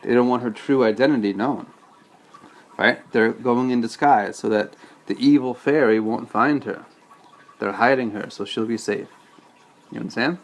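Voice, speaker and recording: A man's voice: medium pitch, normal speed, long pauses. A man reading a storybook aloud as a father, patiently breaking off to explain things casually to a child.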